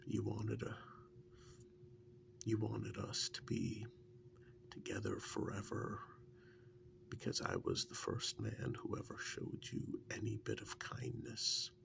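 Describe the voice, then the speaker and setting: A man playing a fondly reminiscing, teasing male partner and former captive in an ASMR role-play, in a breathy half-whisper with gravelly fry and a halting, conversational delivery. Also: lowish voice, huge pitch swings, noisy background, full character voice. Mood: wry, reminiscing. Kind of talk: soft-spoken ASMR role-play monologue.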